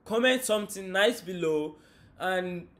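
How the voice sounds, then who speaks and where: A young man from Nigeria hosts a laid-back reaction video from a sofa. His voice sits a touch high and he talks in a chatty, energetic way. The camera picks him up from across the room. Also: a little slow. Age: young adult. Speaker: host. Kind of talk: casual reaction chat. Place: living room sofa, indoors.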